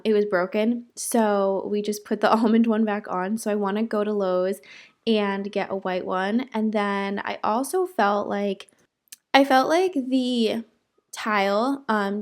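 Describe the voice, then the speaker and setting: A woman in her twenties with a cold-roughened voice, chatting casually through a home kitchen makeover vlog. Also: medium pitch. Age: 20s. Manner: chatty, self-deprecating, many ums. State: hoarse from a cold. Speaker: home DIY vlogger.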